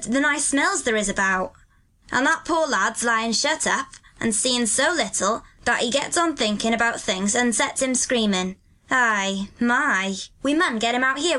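A woman's voice: on the high side, pushed and bright. A woman voicing a cheerful, kindly country boy with a broad Yorkshire accent. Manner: broad dialect, earnest and kindly.

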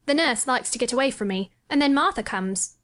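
A woman's voice: high-pitched, lively intonation, a little fast, a bit bright, pitched above the performer's own voice. A woman voicing a lonely, imperious and secretive young boy in an audiobook. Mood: matter-of-fact. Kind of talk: audiobook character voice.

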